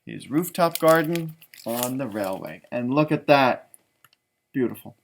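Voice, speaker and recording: A man's voice: medium pitch, lively intonation, vocal fry. A man talking casually and enthusiastically to children during a read-aloud, chatty, stretching words for emphasis like an elementary school teacher. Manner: enthusiastic, chatty, drawn-out emphasis, talking directly to young listeners.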